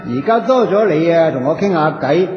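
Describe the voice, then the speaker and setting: An actor with a Hong Kong Cantonese accent playing a cheeky, chatty, poor wandering soul in a radio drama, colloquial, playful and coaxing. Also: male voice, fairly high pitch, animated, normal speed, soft background music, close to an everyday voice. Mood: complaining, chatty. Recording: dull echoey audio with long reverb.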